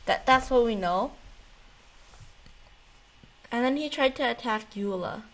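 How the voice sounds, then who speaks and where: A woman co-hosting a casual gaming podcast, chatting unscripted with halting, repeated words and casual swearing. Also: on the high side, rough recording. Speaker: podcast co-host.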